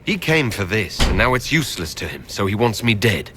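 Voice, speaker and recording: An actor playing a confident, ambitious mage leader in a fantasy video game, speaking assertively. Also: male voice, on the low side, big pitch swings, a little fast, bright, forceful tone, slight background noise. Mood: guarded, incredulous. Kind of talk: dramatic video game acting. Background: game music.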